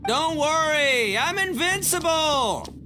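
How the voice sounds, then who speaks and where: An actor playing a boisterous, bragging, big-hearted oni gang boss in an anime-style game, with bombastic, slangy delivery. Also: male voice, very high-pitched, expressive, slow, big-room sound, over loud music, heavily acted. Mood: boastful.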